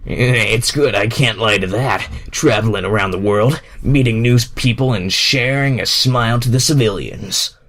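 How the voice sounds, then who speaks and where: A man voicing a smug, laid-back cartoon hedgehog who tries to sound mature, speaking slowly and mock-seriously. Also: on the low side, a bit bright. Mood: earnest, composed. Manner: slow, deliberate, mock-serious.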